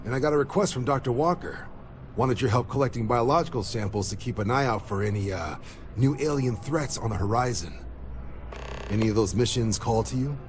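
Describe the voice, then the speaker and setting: A man's voice: mid-range voice, slight background noise, lightly acted. A man playing an affable, businesslike military commander in a video game, with hearty, informal authority.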